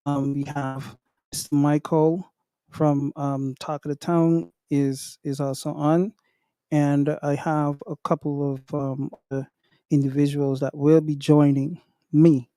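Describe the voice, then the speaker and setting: A man hosting an online community meeting, talking slowly with many pauses and ums. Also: on the high side, warm tone, clean tone, no echo. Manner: slow, hesitant, many ums. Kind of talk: casual online chat.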